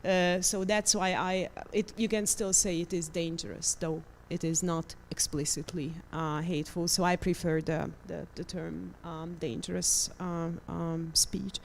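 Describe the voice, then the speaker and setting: A woman's voice: fairly low pitch, level tone, unhurried, vocal fry, hardly any pauses, slight background noise. A woman from Hungary, speaking as a panelist on media literacy, hesitant with many ums and uhs. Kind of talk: panel discussion.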